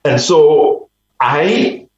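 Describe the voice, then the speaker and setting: A man from Liberia, a guest on a radio interview, telling a personal story slowly and reflectively, often restarting his sentences. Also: on the high side, heavy reverb, lo-fi sound. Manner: slow, reflective, with repeated restarts.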